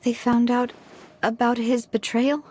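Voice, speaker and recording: A high, youthful female game character acted by a woman, sincere and formal. She carries guilt and speaks with heartfelt resolve. A faint noise sits behind the voice. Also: level tone, measured pace, warm tone, lightly acted. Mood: troubled. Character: earnest, guilt-ridden young woman seeking the truth. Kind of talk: video game voice acting.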